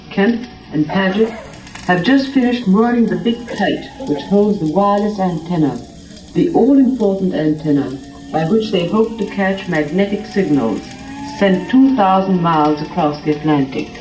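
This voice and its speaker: A woman's voice: medium pitch, warm tone. A woman announcer giving solemn, declamatory 1930s-style scripted narration. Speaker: radio announcer. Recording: crackly 1930s record, echoey distant playback.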